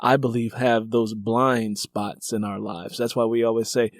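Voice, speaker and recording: A man's voice: medium pitch, flat delivery, unhurried, no room sound. A man with a mid-range voice works as a podcast interviewer. He talks in a reflective, conversational way, circling back to rephrase his points with emphasis. The sound is very dry, with no room around it.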